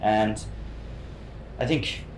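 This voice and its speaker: A young man from Hong Kong talks casually as a guest in an interview. His voice sits on the low side, and he often stumbles, repeating words before carrying on. The room is echoey, with a faint noise underneath. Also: extremely slow. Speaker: guest actor. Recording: roomy sound with faint noise.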